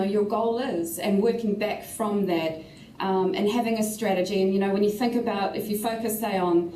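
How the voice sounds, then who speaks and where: A woman with a New Zealand accent speaks as a panelist in a measured way, often filling pauses with "you know" and "um". Her voice is dark and mellow. It comes from a distance in a roomy, echoey space, with faint noise behind it. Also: mid-range voice. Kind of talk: casual panel discussion.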